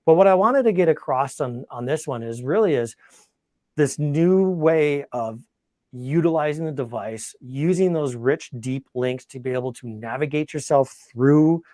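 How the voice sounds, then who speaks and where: A man's voice: a little high, expressive, moderate pace, a little mellow, dry recording, clean studio sound. A man presenting an informal technical webinar and taking questions, talking conversationally and thinking aloud as he goes. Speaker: product demonstrator and webinar presenter.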